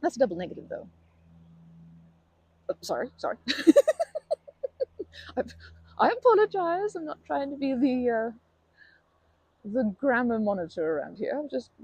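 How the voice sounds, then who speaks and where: A woman playing a timid, kind young woman in an ASMR roleplay, stammering and rambling self-consciously in a soft, breathy voice. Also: sits high, big pitch swings, a little slow, pauses often, exaggerated voice. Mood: embarrassed, apologetic. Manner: stammering, self-deprecating, rambling with nervous giggles. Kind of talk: ASMR comfort roleplay, conversational acting.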